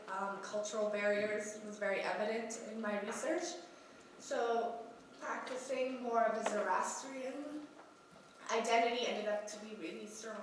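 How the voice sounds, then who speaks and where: A young woman who is sick and keeps clearing her throat, presenting her research at a conference with many hesitant ums. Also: mid-range voice, background noise. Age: young adult. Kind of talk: research presentation at a youth congress. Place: large conference hall. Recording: echoey hall from far off.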